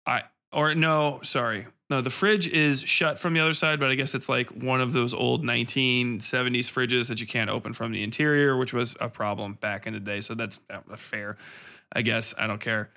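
A man's voice: medium pitch, fairly even intonation, normal speed, sharp tone. A man hosting a podcast, delivering a casual, sweary review rant in an exasperated, sarcastic and emphatic way. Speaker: podcast host reviewing horror films and books. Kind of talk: casual ranting review monologue, heavy swearing.

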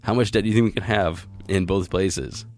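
American guy doing a news podcast, lowish slightly raspy sick voice, chatty wry asides, music behind him. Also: brisk, darkish tone. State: voice lowered by illness. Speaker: podcast host. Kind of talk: news reading with casual commentary. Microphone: close podcast mic, compressed and equalized.

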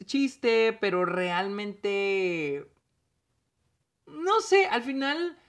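A man with a Mexican accent giving a casual, rambling solo film review, full of restarts and dropped-in English words. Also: high voice.

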